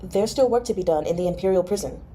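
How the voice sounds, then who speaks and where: A reserved young man in imperial service, in a storybook reading, voiced by a woman. The tone is mellow and understated, with a dutiful, thoughtful temper. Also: medium pitch, fast, a little hiss or hum, close to an everyday voice. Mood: weary, dutiful. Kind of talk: expressive audiobook-style novel reading.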